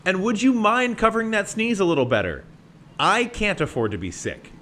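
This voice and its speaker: A man's voice: high voice, very animated, normal speed, fairly bright tone, slight background noise. A man playing an arrogant, short-tempered scientist in an audio drama, sarcastic and belittling in manner. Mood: irritated, scolding.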